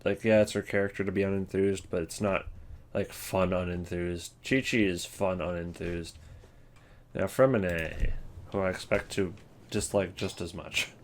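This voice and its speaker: A man with a lowish voice talks off the cuff like a host ranking things, rambling as he thinks aloud. Keyboard taps and mouse clicks sit behind him. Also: fairly even intonation, normal speed, slight background noise. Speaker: host ranking video game voice lines. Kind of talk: casual unscripted commentary.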